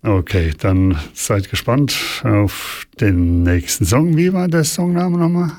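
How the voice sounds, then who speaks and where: A man's voice: on the low side, lively intonation, slow, a little mellow. A man hosting a music radio show, chatting with a guest and asking questions in a friendly, talkative way. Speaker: radio host. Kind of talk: casual interview chat.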